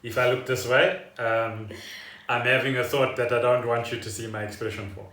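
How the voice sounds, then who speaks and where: A bright-voiced man talks from a little way off the mic in an echoey living room. He chats casually as a podcast co-host, rambling through explanations like someone telling a story. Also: medium pitch, steady tone, moderate pace. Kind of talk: casual chat. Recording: roomy echo, distant from the mic.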